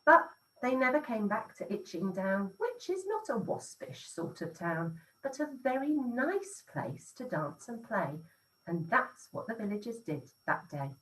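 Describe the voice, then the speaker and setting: A woman teacher reading a rhyming picture book aloud in an expressive, sing-song storytelling way. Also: fairly high pitch, a little slow, recorded from a distance, low-quality recording.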